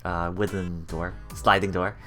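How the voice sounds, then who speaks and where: Female let's player, very deep voice, unhurried read-aloud with giggly asides, music underneath. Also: steady tone, a bit bright. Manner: giggly, frequent laughing asides while reading aloud. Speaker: let's play streamer narrating a visual novel. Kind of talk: live reading and reacting to a game, casual. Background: game music.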